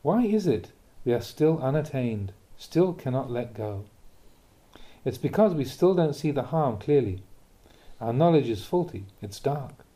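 A man reading a Buddhist teaching aloud from a script in a measured, deliberate, instructive manner. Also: mid-range voice, big pitch swings, warm tone. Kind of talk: scripted reading of a dharma talk.

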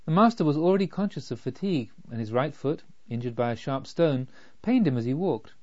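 A man reading a literary story as a narrator, with measured, deliberate phrasing. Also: mid-range voice, animated, a little mellow. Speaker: audiobook narrator. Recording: slightly dull, lo-fi.

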